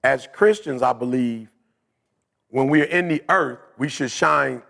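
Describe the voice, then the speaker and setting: A man's voice: mid-range voice, measured pace, vocal fry, fairly bright tone, big-room sound. A man co-hosting a podcast in casual chat, teasing the others and slipping into preacher-like talk about faith. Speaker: podcast co-host.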